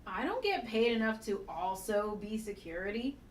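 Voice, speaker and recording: A woman's voice: medium pitch, sing-song, moderate pace, natural voice. A woman playing a dry, sarcastic, unimpressed office worker in a sitcom table read. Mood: deadpan. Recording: echoey room, distant mic, noisy background.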